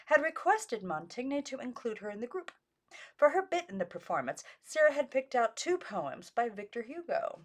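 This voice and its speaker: A woman reading a biography aloud as an amateur narrator, in an unpolished way that stumbles over foreign names. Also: a little high. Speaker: audiobook reader.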